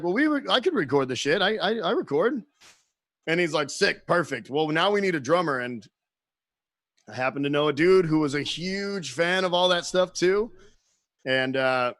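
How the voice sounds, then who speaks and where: A man tells band stories in casual chat with a voice a bit higher than most men's. He acts out other people's lines and swears freely. The sound is close and dry, with no room in it. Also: dry recording. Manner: animated storyteller, acts out quotes, swears freely. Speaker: guest musician.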